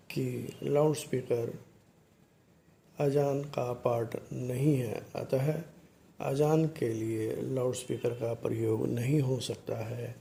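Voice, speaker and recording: A man narrates social commentary for viewers at a slow pace, breaking his sentences into short, halting phrases. His voice is mellow and noticeably creaky. A light layer of noise sits behind him. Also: medium pitch.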